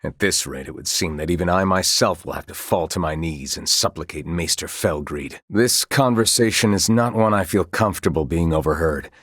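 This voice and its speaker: An actor playing a grave, dutiful military commander in a fantasy video game, speaking in formal, courtly phrases with measured care. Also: male voice, sits low, a bit bright, dry recording, clean studio sound. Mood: troubled. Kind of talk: scripted video game dialogue.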